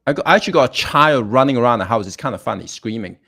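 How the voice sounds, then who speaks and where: Man, mid-pitched, very lively intonation, casual chat, guest musician. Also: very fast.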